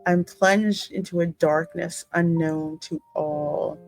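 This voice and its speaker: Slow-speaking woman narrating over music, lower-pitched. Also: fairly even intonation, gravelly. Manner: reads narration slowly, reacting aloud in asides. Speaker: gaming channel host. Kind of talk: narrating a story game with asides. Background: music behind the voice.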